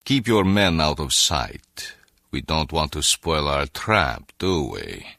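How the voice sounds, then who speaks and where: Game villain: impatient, condescending boss, very low and bright-edged, deliberate delivery. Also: male voice, very animated, creaky, dry recording, clean studio sound, full character voice. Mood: smug menace.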